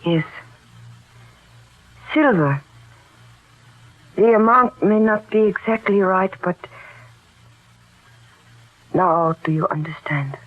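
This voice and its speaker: An actress playing an earnest, loyal young daughter in a wartime radio drama, speaking in formal, careful phrasing. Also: female voice, on the low side, takes it slowly, pauses often. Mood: sorrowful, gentle. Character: grieving, loyal young daughter named Mia in war drama. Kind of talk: old radio drama acting. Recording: tinny, band-limited old radio recording.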